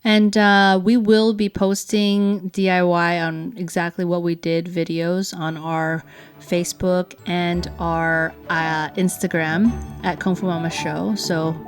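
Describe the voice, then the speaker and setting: A woman with a slightly low voice chats casually as a parent co-host of a family show. Faint music plays behind her as she talks. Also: little pitch movement, takes it slowly, clear, resonant tone. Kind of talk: casual conversational chat.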